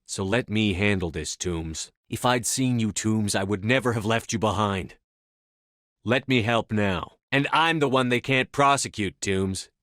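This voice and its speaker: A man acting in a video game as a commanding, resolute space soldier, speaking firmly with measured authority. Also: on the low side, a bit bright, dry recording, clean studio sound. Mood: earnest, resolute. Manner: firm, authoritative, measured delivery. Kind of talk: scripted game dialogue acting.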